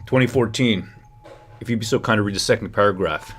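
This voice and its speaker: A man's voice: on the low side, fairly quick, slight background noise. A man hosting a political commentary livestream, speaking emphatically and straight to his viewers. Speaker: livestream host.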